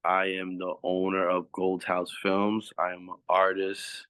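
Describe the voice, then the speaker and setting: Easygoing guy from long island, lowish voice, laughs easy. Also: completely flat delivery, pushed and bright, clean tone. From Long Island, New York. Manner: laid-back, easygoing, quick to laugh. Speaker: podcast guest. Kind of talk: casual chat.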